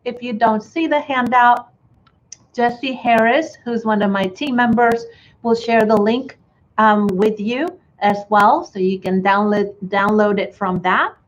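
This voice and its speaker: A woman's voice: on the high side, measured pace. Woman from chile, light accent, chatty webinar talk with lots of ums, slightly dull audio, faint hiss. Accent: Chilean Spanish. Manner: friendly, filler-heavy, restarts often. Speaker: webinar presenter, bookkeeping educator. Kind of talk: webinar presentation.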